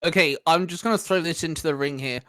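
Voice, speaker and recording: A man's voice: fairly high pitch, quick pace, fairly bright tone, a little hiss or hum. A man hosting a casual chat with friends, laughing often, swearing and restarting his sentences. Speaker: podcast host running the quiz.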